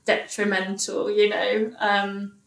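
A woman's voice: mid-range voice, slow, creaky, distant mic. A woman, a guest telling her story candidly and animatedly, with frequent restarts. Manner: animated, many restarts and laughs. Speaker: guest, occupational psychologist. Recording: roomy echo, a bit off mic.

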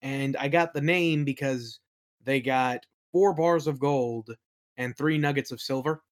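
A man's voice: mid-range voice, a little slow. A man in a casual interview, talking informally as a game master and telling anecdotes. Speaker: podcast guest, game master and worldbuilder.

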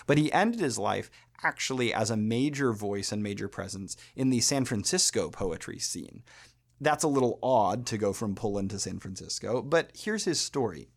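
An American man hosting a podcast, talking through a subject in a thoughtful, measured way with pauses. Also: fairly low pitch. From United States. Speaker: podcast host. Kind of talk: explanatory podcast talk.

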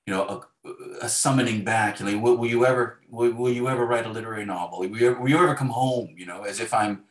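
A man's voice: mid-range voice, fast talker. A man speaking as an interview guest, reflecting conversationally and often restarting his phrases as he thinks. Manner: hesitant, self-correcting, thoughtful phrasing with restarts. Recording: roomy, echoing sound.

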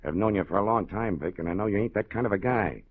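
An actor playing a tough, menacing crime boss in a 1940s radio crime drama, with streetwise, slangy, needling talk. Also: male voice, lowish voice, fast, lightly acted. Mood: coolly menacing. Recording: muffled, thin old radio broadcast.

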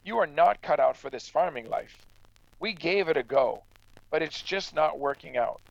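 An actor playing a stern, practical farmer in a radio drama, blunt and admonishing but fair-minded. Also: male voice, on the high side, animated, normal speed, creaky, dull audio, background noise. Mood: stern, dismissive. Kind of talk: true crime radio drama acting.

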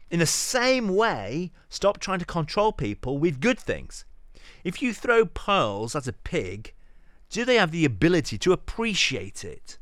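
A man giving a dramatic reading of a sermon, theatrical and exhorting, addressing the listener directly with deliberate pauses. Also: a little high, no echo, studio quality. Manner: direct rhetorical questioning of the listener. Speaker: podcast host reading scripture.

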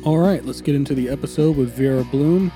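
Podcast host guy, stuffy nose, super dark mellow voice, lots of ums, faint guitar behind. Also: medium pitch, a little fast. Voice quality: stuffy, congested. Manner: relaxed, many ums and uhs. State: recovering from illness, stuffy nose. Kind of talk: casual podcast intro and interview.

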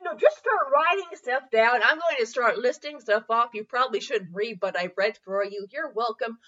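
A woman hosting a podcast with casual, humorous review chat, rambling and halting as she goes, often giggly. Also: on the high side, animated, bright, forceful tone, lo-fi sound. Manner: giggly, frequently breaking into laughter; rambling, halting self-interrupted delivery. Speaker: podcast host.

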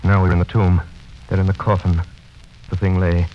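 A man's voice: low-pitched, little pitch movement, moderate pace, a little hiss or hum, lightly acted. An actor playing an earnest, haunted doctor who narrates his journal formally and dramatically, in 1930s radio drama style. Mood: tense. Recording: dull vintage radio recording with music.

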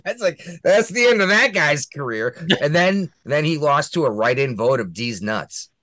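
A man's voice: on the high side, animated, moderate pace, sharp tone, no echo. A man co-hosting a casual political chat show, crude and joking, laughing at his own jokes. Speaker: podcast co-host.

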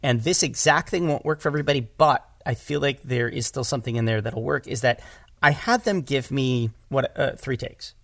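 Creaky-voiced man in casual discussion. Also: medium pitch, moderate pace, fairly bright tone. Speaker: audio drama director and podcast guest; former stage actor. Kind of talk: casual conversational interview.

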